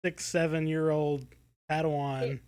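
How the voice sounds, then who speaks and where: Guy podcast cohost, voice a bit on the high side, casual review chat, says like a lot, kinda halting. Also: level tone, a little mellow, clear, resonant tone. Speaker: podcast co-host.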